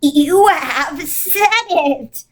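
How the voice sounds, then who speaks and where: A commanding, otherworldly old woman from a children's storybook, acted out by a female reader. She speaks very slowly and solemnly, stretching each word into a weighty pronouncement. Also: high-pitched, a little hiss or hum, voice pushed up. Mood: ringing, grave. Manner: slow, drawn-out, echoing delivery of pronouncements.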